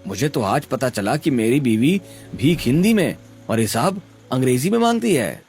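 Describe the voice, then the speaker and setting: A man voicing a devoted young husband in a cartoon story, soothing and gently teasing. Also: mid-range voice, big pitch swings, moderate pace, soft background music. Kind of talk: Hindi cartoon story voice acting.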